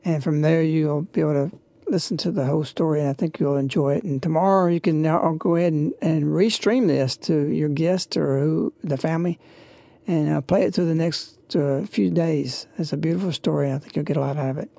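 Radio host, kinda gravelly n dry sounding, rambles reverently, devotional intro talk. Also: mid-range voice, brisk, warm tone, no room sound.

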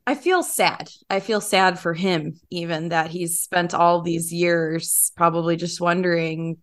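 A woman hosting a podcast in a conversational, informal and expressive way. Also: on the low side, measured pace. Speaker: podcast host.

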